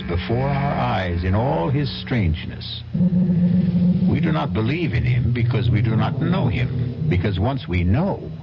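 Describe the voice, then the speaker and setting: A low-voiced man introduces a radio mystery in solemn, theatrical narration. His delivery is slow and strongly inflected. Music plays clearly behind him on a muffled old recording. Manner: dramatic, deliberate, portentous. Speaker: drama anthology host.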